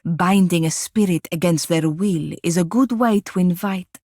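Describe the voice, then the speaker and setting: An actress playing a haughty, sharp-tongued female wizard in a video game, with dry, impatient, formal delivery. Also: on the low side, moderate pace, no echo. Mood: dry, aloof. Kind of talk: video game character dialogue and battle barks.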